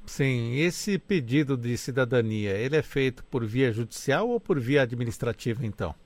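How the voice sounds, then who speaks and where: A man's voice: mid-range voice, animated, normal speed, darkish tone. A man hosting an interview, asking polite, formal questions.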